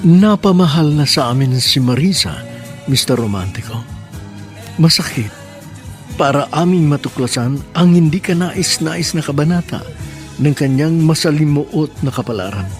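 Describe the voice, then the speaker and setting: A solemn man, voiced by an actor, speaks in formal, poetic language with a slightly raised pitch. Faint music plays under his lines. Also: animated, moderate pace, natural voice.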